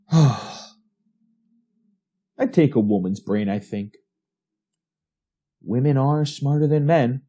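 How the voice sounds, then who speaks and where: A woman playing an obsessive, taunting young man who builds robots, husky-voiced, in a retro radio drama. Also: a bass voice, takes it slowly, long pauses, mellow, full character voice. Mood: obsessive, teasing.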